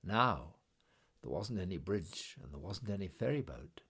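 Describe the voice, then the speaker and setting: A man with a fairly low voice narrates a storybook. He takes his time, chanting repeated phrases rhythmically and dramatically, and his pitch moves in very animated swings. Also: measured pace, breathy edge. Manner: expressive, incantatory repetition. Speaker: storybook reader.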